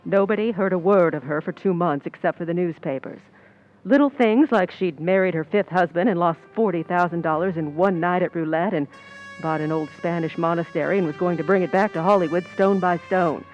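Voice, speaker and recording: A woman's voice: on the low side, a little fast, darkish tone, highs cut off, natural voice. A sardonic, knowing Hollywood stand-in acted by a woman narrates in the style of vintage radio suspense. She tells it wryly, with faint music underneath. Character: wry, cynical female movie stand-in telling her story. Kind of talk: first-person narration in old-time radio suspense.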